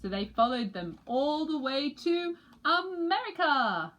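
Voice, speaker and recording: A woman reading a children's picture book aloud with expressive narration. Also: high-pitched, slow. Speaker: storybook reader for a school.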